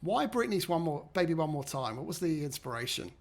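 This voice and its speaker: A man's voice: on the high side, very animated, fairly quick. A man co-hosting an interview, upbeat and promotional, plugging songs to the viewers. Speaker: co-host.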